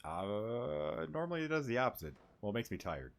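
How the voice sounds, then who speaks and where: A man's voice: mid-range voice, very animated, brisk, gravelly. A man doing casual let's-play commentary in a laid-back, slangy way. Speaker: game streamer. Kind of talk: casual let's-play commentary, reading game text aloud. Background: game music.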